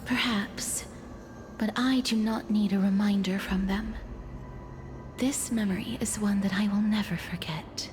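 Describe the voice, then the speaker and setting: An actress playing a solemn, dutiful young woman in an anime game, speaking softly and breathily in formal, measured phrases, with music behind. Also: mid-range voice, steady tone, close to an everyday voice. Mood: pensive. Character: soft-spoken, solemn, dutiful young woman with deathly power.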